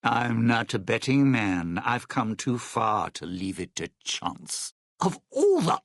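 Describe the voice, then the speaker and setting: Casting: a bitter, sardonic video game schemer, gravelly and slow, with theatrical swings in pitch. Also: male voice, no room sound, studio quality, heavily acted. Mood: taunting. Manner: sly, sardonic, theatrical delivery. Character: scheming, bitter, sardonic ghoul crook from a casino heist. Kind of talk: video game character dialogue.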